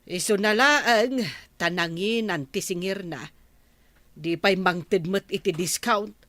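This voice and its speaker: Casting: wry Ilocano village gossip, unhurried, dry close studio sound, audio drama. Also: female voice, mid-range voice, fairly bright tone, dry recording. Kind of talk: Filipino audio drama acting.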